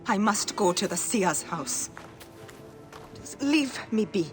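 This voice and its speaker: A woman's voice: mid-range voice, animated, slow pace, music in the background. An actress playing a fierce, desperate expectant mother in a video game, speaking with bitter, urgent intensity. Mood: bitter anguish. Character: distraught pregnant wife, fierce and desperate for her child. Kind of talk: dramatic video game acting.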